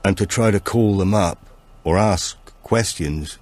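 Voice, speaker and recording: A man voicing a grave old storyteller who gives warnings slowly and deliberately in an audiobook. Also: low-pitched, darkish tone. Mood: grave, cautioning.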